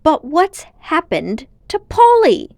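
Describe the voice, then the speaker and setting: Lady doing a worried little boy in a kids book, high pitched and indignant, pushy questions, really animated. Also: slow pace, creaky, dry recording, studio quality, exaggerated voice. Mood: indignant, alarmed. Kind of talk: storybook character voice.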